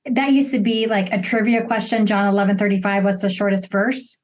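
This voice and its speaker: Mid-pitched woman hosting a casual interview. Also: little pitch movement, brisk. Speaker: interview host.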